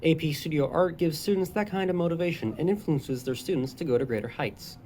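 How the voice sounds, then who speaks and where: A teenager narrating a school news feature in a brisk, scripted reading. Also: medium pitch, warm tone, slight background noise. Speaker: student reporter.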